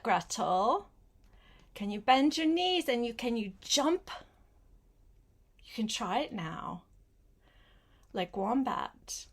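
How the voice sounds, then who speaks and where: An older woman, a grandmother, reads a picture book aloud to a young child, gently adding simple asides and questions. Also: fairly high pitch. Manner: gentle, simple child-directed talk with questions and short phrases.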